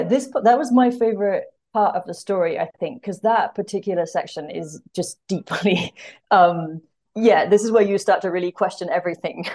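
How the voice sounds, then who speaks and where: A woman's voice: fairly low pitch, darkish tone. A woman talking through a book in a casual discussion, as a podcast guest, in a thoughtful, hesitant way that drifts into asides. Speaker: podcast guest, literary translator.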